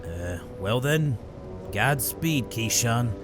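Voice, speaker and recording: A man playing a gruff, wary smuggler ship pilot with clipped seafaring talk in a fantasy audio drama. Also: mid-range voice, expressive, takes it slowly. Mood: resigned. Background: music under the voice.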